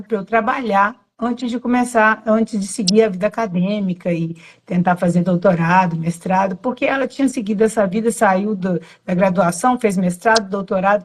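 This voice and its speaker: A woman in her late forties from Minas Gerais, with a mild Minas accent, telling her personal story in a reflective, meandering way, often correcting herself. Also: mid-range voice. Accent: Brazilian Portuguese, Minas Gerais (Zona da Mata, mild). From Minas Gerais, Brazil. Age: late 40s. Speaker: yoga teacher. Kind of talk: personal storytelling on a live stream.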